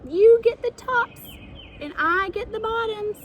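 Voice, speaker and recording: A woman plays a clever trickster hare from a children's storybook. His voice is very high and cheerful, with a sly sing-song lilt and an unhurried pace. The recording has clear background noise. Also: lively intonation, lo-fi sound. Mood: gleeful calling.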